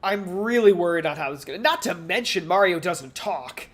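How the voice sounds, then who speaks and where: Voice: medium pitch, animated, fairly quick. A podcast co-host chatting casually about pop culture, speaking in a hesitant, rambling way and often repeating words.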